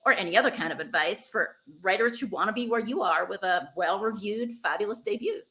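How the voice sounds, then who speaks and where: A woman's voice: mid-range voice, fairly quick, sharp tone, poor audio. A woman hosting a conversational interview in an effusive, encouraging way. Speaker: interviewer, host.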